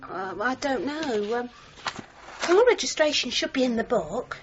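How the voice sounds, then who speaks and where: A woman's voice: on the high side, expressive, measured pace, faint music behind. An actress playing a gossipy, knowing woman who works at a hotel desk, in a 1980s radio drama. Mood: nosy, knowing. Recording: dull, faintly noisy radio recording.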